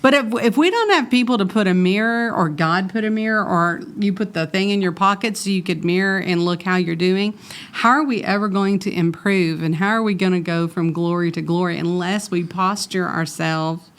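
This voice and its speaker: Woman, lower voice, host of a faith talk, earnest, personal. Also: normal speed, clear, resonant tone. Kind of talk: casual conversation.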